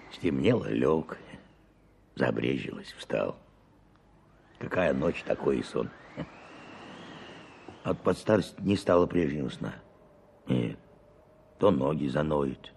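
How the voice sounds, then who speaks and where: Very deep, gravelly old peasant. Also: male voice, darkish tone, heavily acted. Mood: weary, homely. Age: older. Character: weary old peasant forest watchman, lifelong bachelor, humble. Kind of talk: radio drama acting. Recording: clearly noisy radio play recording.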